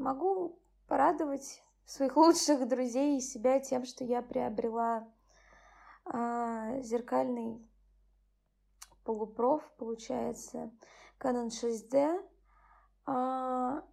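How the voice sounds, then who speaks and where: A tired young woman hosting her own channel, speaking softly and subdued as she reads a bedtime story and chats about her day. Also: gravelly. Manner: soft, subdued, low-key. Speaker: channel host. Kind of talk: bedtime story reading and personal chat.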